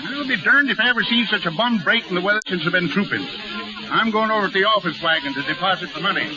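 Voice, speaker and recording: A folksy American carnival showman, slangy and grumbling. His voice is high and swings in pitch, in 1930s radio acting on a dull recording with music underneath. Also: animated, fast talker.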